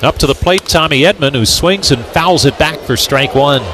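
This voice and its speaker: A man's voice: fairly high pitch, normal speed, fairly bright tone. A man doing live radio play-by-play commentary of a baseball game as the announcer. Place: ballpark broadcast booth. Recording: ballpark outdoor background noise. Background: ballpark ambience, noise.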